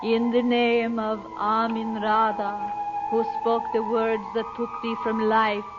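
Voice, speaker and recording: An actress playing a solemn, brave princess in an old radio drama, with formal, drawn-out, dramatic delivery. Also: female voice, on the high side, completely flat delivery. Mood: solemn incantation. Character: solemn, brave princess helping a magician, reading incantations. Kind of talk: 1940s radio drama acting. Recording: muffled old radio recording, music behind.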